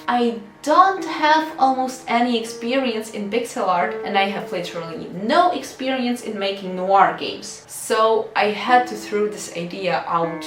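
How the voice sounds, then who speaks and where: A woman's voice: medium pitch, a little slow, few pauses, a little hiss or hum. A young adult woman narrating a devlog with wry, self-deprecating humor and theatrical emphasis, moving between scripted lines and casual asides. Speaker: game developer and video creator. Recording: echoey room, distant mic, music underneath.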